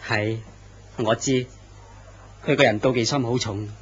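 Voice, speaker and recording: A man's voice: mid-range voice, steady tone, a little slow, husky. An actor playing a polite, earnest mid-level office man in a horror radio drama, courteous and sincere in conversation. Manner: courteous and earnest, turns startled when threatened. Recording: dull lo-fi recording.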